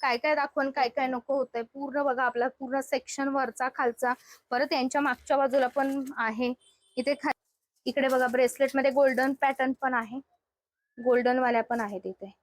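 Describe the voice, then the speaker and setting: A woman's voice: high-pitched, fairly even intonation, moderate pace, dry recording, low-quality recording. A woman hosting a shopping vlog, showing products to viewers in an unscripted, conversational way. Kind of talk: spontaneous product showcase, presenting to viewers and chatting with the seller.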